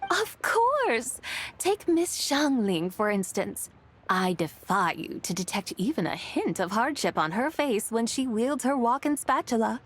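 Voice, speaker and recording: A woman's voice: a little high, very animated, measured pace. A woman voicing a lofty ancient female immortal in a video game, speaking in grand, archaic, theatrical phrases as one amused by mortals. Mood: encouraging. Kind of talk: video game character acting.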